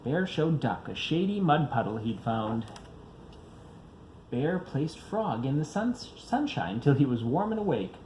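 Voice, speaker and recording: A man's voice: medium pitch, big pitch swings, a little slow, a little mellow, a little hiss or hum, poor audio. A father reading a children's picture book aloud, expressively, varying his pace for young listeners.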